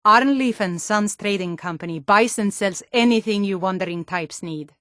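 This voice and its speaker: A woman's voice: medium pitch, moderate pace, fairly bright tone, no room sound, natural voice. Woman acting a forthright Nord townswoman, close dry sound. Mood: matter-of-fact. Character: hardy, forthright Nord townswoman and guard from a fantasy north. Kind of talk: fantasy video game character dialogue.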